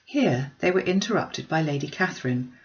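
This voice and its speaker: A woman's voice: low voice, expressive, moderate pace. A woman reading a classic story aloud as scripted audiobook narration, on a slightly muffled recording. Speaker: audiobook reader.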